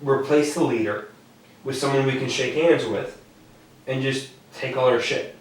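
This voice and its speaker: A man in his twenties, blunt and teasing and quick to swear, chatting casually as a podcast co-host. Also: mid-range voice. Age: 20s. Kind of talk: casual chat. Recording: echoey room, distant mic.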